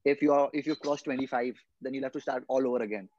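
A man's voice: medium pitch, fairly even intonation, fast, a little mellow, no room sound. A young man from India, a guest footballer in a casual podcast chat, explaining earnestly and often repeating his words as he thinks. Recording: dull lo-fi podcast mic.

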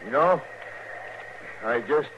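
Through a muffled, noisy old radio recording comes a sullen cowboy outlaw. His mid-pitched voice has a rural Western American drawl, folksy and distrustful, in the manner of 1950s radio westerns. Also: expressive, takes it slowly. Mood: sullen, puzzled. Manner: drawling, uneducated folksy speech. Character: sullen, distrustful cowboy cattle rustler under arrest.

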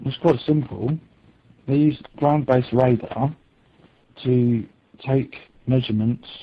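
A man's voice: medium pitch, husky, muffled recording. A man in a played video clip explaining things in a matter-of-fact way.

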